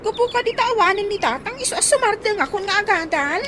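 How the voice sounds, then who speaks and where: An actress with a Filipino Ilocano accent playing a worried, pleading young student from a poor family in a radio drama. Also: female voice, very high-pitched, expressive, quick pace, a bit bright. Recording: noisy radio drama recording.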